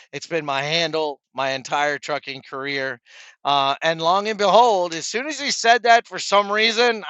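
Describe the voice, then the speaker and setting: A man's voice: a little high, pushed and bright, no room sound, highs cut off. A middle-aged man telling stories and giving advice to viewers in a casual, chummy vlog style, as a trucker presenting to his peers. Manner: chummy, addresses viewers as guys.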